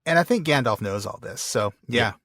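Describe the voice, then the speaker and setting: A man's voice: medium pitch, lively intonation, moderate pace, a bit bright, no echo, studio quality. A man co-hosting a podcast, reading listener mail and explaining word origins with enthusiasm, laughing often. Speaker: podcast co-host.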